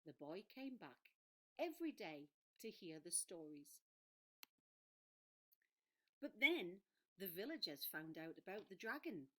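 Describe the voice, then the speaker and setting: A woman storyteller reading to children in a dramatic, deliberate and clearly phrased way. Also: lowish voice, big pitch swings. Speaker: storyteller for an English school.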